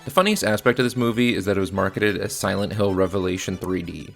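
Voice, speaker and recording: A man with a low voice that often drops into fry. He delivers a blunt, casual scripted review, with faint music underneath. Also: quick pace. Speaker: video reviewer. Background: faint background music.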